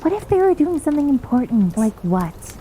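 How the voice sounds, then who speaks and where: A woman's voice: sits high, sing-song, fairly quick, mellow, slight background noise. A woman playing a caring young maid who teases and scolds in an ASMR roleplay. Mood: scolding. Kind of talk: ASMR roleplay character acting.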